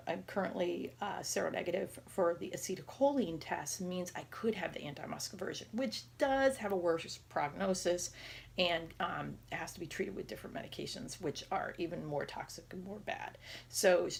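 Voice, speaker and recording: A woman around forty with a raspy voice, talking casually and haltingly in a personal health vlog. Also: on the low side. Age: 30s or 40s. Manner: halting, rambling, conversational. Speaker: patient video diarist.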